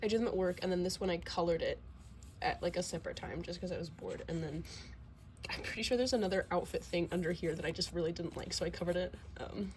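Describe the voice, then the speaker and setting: A woman's voice: fairly low pitch, fast talker, darkish tone, slight background noise. A teenage girl with a slight cold, sniffling a little, casually rambling through a sketchbook tour with lots of fillers and self-deprecating asides at home. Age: teens. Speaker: vlogger.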